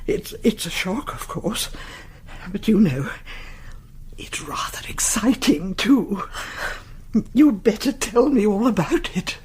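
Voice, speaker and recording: A woman's voice: mid-range voice, expressive, slow pace, darkish tone, breathy edge, heavily acted. An actress playing an inquisitive, chatty widow in her seventies in a British radio play, with genteel, probing phrasing. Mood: shaken, intrigued. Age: 70s. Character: inquisitive, chatty seventy-two-year-old widowed woman neighbour.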